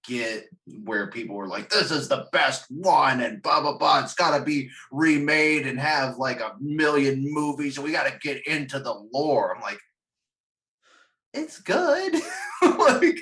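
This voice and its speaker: A man's voice: fairly high pitch, unhurried. A man in a casual chat, rambling and swearing freely, often laughing as he talks. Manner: animated, rambling, profane, laughs while talking. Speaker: podcast panelist discussing films and games.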